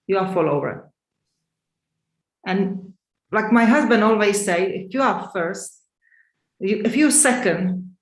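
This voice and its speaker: A woman's voice: medium pitch, echoey room, rough recording. A woman who runs a business, giving firm advice as a guest on an online panel, with nonnative phrasing. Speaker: business owner, guest speaker.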